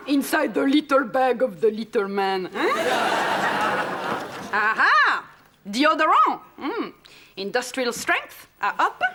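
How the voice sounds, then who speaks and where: Snooty french airport guard, sing-songy high voice, super condescending, french accent. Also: female voice, noisy background, heavily acted. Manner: condescending, mocking, sing-song. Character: snooty French female airport security officer. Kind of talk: sketch comedy acting.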